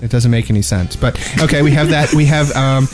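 A man's voice: medium pitch, fairly quick, music in the background. A man hosting a casual gaming podcast with a dry, joking way of talking. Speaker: podcast host.

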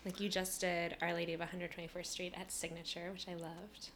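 A woman's voice: fairly low pitch, flat delivery, a little fast, a bit bright, slight background noise. A woman hosting a conversational interview, friendly and affirming, laughing along with her guest. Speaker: podcast host.

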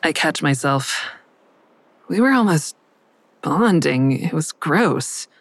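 An actress playing a wry grown daughter who narrates her own story in a dry, deadpan first-person style. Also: low-pitched, takes it slowly, no room sound, heavily acted. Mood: wry, confiding. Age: adult.

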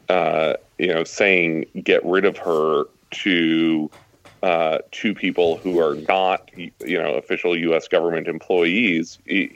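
A man speaking as a journalist guest, analysing politics conversationally with halting, stuttered restarts and many fillers. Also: sits low, a bit bright, dry recording, highs cut off. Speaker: journalist and author, podcast guest.

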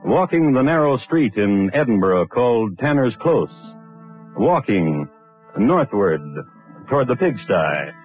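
An actor playing a wry, deliberate narrator of historic crimes in a 1950s radio drama. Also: male voice, medium pitch, sing-song. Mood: wry, deadpan. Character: dry, deadpan male storyteller of historic crimes. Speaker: narrator and host. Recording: tinny old radio recording, faint music.

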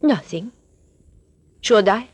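A woman's voice: mid-range voice, lively intonation, slow pace, husky. An actress playing a guarded, mysterious woman of twenty in 1940s radio drama style.

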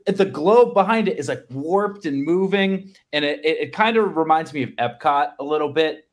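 An American man in his thirties chatting casually as a sports podcast co-host, in a halting, conversational way. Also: fairly high pitch, animated.

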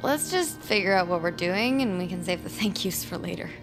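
A woman's voice: lowish voice, lively intonation, fairly quick, over music. An actress playing a practical, guarded woman helping investigate the occult in an audio drama. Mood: practical, sceptical.

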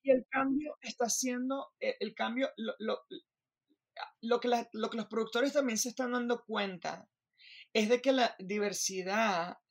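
Venezuelan woman, gravelly, animated. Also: on the high side, unhurried. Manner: animated, restarts, keeps asking "me entiendes". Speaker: Broadway performer, guest. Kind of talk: interview conversation.

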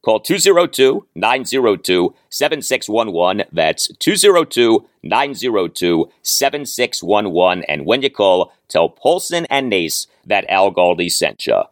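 A man hosting a sports podcast in an emphatic, deliberate monologue, often repeating phrases for weight. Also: lowish voice, sing-song, no room sound, studio quality. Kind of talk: sports commentary monologue with sponsor read.

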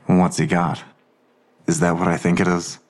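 A man's voice: sits low, monotone, moderate pace, natural voice. A man playing a weary, skeptical investigator, sardonic and dismissive, in a naturalistic audio drama. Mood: incredulous, skeptical. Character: Blossom, weary skeptical male investigator, a father.

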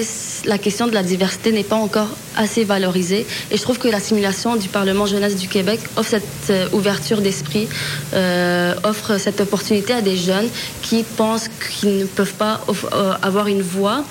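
Young woman guest, mid pitch, earnest, lots of euhs, faint noise. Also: fairly even intonation, normal speed. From Lebanese heritage. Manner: earnest, many fillers and restarts. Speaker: student guest. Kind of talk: casual interview answers.

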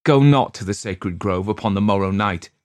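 A man's voice: lowish voice, normal speed, close to an everyday voice. A man voicing an anguished young huntsman in love, pleading and trembling in archaic storybook speech. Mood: desperate pleading. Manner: trembling, imploring, archaic diction.

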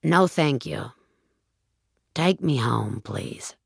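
A woman's voice: very deep voice, animated, extremely slow, creaky, slightly rough, no room sound, studio quality, pitched below the performer's own voice, exaggerated voice. A woman voicing a weary, polite elderly American woman in an audio drama. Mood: tired, defeated.

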